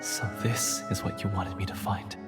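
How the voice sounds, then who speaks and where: A man's voice: sits low, fairly even intonation, moderate pace, loud music behind the voice, lightly acted. Earnest repentant hunter played by a man. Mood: heartfelt. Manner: earnest, solemn, formal phrasing. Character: repentant male monster hunter, earnest and formal. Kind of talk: dramatic game voice acting.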